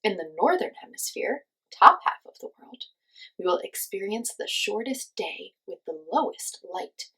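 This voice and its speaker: A woman with a distinctly breathy, husky voice and some creak leads a children's lesson. She gives simple, encouraging instructions and poses questions, pausing as if for young listeners to answer. Her pitch is average for a woman. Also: big pitch swings, bright, forceful tone, rough recording. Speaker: children's class teacher and host. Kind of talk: children's lesson with guided movement.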